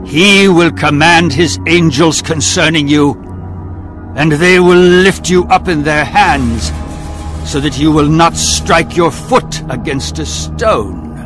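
A man's voice: fairly high pitch, over music. An actor playing the devil as a sly, theatrical tempter in a dramatised audio Bible, drawing out his words. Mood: sly, taunting. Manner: drawn-out, theatrical.

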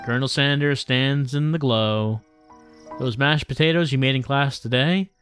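A male streamer with a mid-pitched voice reads a visual novel aloud. His delivery is wry and deadpan, slipping between game text and his own commentary. Game music plays clearly behind him. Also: moderate pace.

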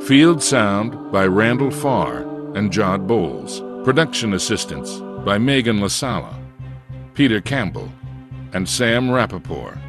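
A man reading scripted production credits as an announcer in a measured, formal way. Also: on the low side. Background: faint music.

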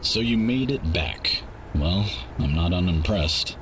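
A man voicing a cold, dismissive robot contract broker with a deadpan manner in a game. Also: sits low, slow pace, heavily acted. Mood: indifferent. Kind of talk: animated game cutscene acting. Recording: clear noise behind the voice.

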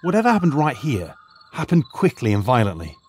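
An actor playing a retired archaeologist turned high school teacher, measured and explanatory in an audio drama. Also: male voice, huge pitch swings, breathy edge. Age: older.

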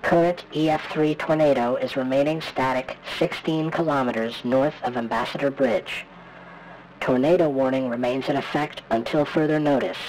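A man voicing a public emergency warning system in a formal, measured read. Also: mid-range voice, fairly even intonation, darkish tone, slight background noise, lightly acted, rough recording. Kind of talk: emergency broadcast reading.

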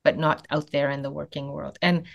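Low-voiced woman telling her story earnestly. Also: flat delivery, moderate pace, vocal fry, lo-fi sound. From Canada. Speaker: guest, photographer and founder. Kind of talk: video call interview. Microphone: video call.

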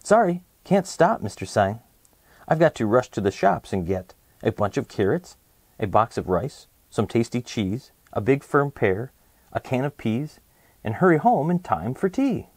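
A man voicing a hurried, muddled young boy on an errand in a children's picture book, reciting in a breezy singsong. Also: mid-range voice, big pitch swings, darkish tone, breathy edge, no room sound, heavily acted.

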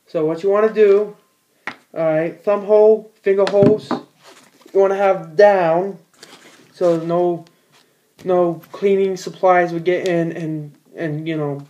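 A man's voice: high-pitched, fairly even intonation, slow pace, warm tone, highs cut off, slight background noise. A teenage boy in a small room at home, giving a casual how-to in a rambling, plain-spoken way with many fillers and restarts. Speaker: bowler demonstrating ball cleaning.

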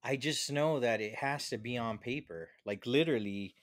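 A man's voice: mid-range voice, normal speed, no echo. A man in his thirties chatting casually and jokingly on a podcast in informal, slangy speech, close on the microphone. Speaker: podcast co-host. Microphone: close podcast microphone with headphones.

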